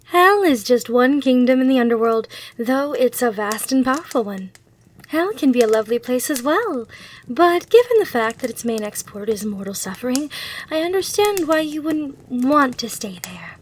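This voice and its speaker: Woman playing a high-voiced, courteous demon hotel receptionist. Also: big pitch swings, normal speed, a little hiss or hum, poor audio. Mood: reassuring, proud. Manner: polite, reassuring customer-service patter. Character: gracious, eager female demon hotel receptionist. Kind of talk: ASMR roleplay acting.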